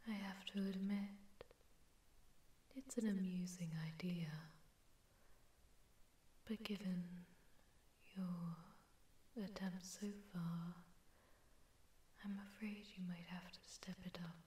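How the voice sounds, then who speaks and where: A woman voicing a haughty, seductive sleep paralysis demon in a breathy, teasing whisper with an echoing distortion effect, for an ASMR audio roleplay. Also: lowish voice, steady tone, warm tone. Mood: amused, taunting. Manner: teasing, slow, flirtatious, condescending.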